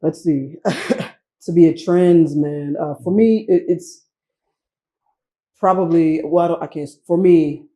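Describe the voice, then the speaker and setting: A male panel guest from Florida talks haltingly and searches for his words. His voice is fairly high but very dark and mellow. He keeps his delivery flat and even. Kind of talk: casual discussion.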